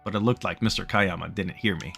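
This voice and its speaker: A man's voice: low-pitched, fast, creaky, fairly bright tone. A man narrating a visual novel as he plays it, casually, with joking asides. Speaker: let's-play host reading game text aloud. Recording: faint music behind voice.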